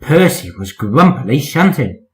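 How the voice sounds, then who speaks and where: Animated man reading a children's story. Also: medium pitch, measured pace. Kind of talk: scripted children's story narration.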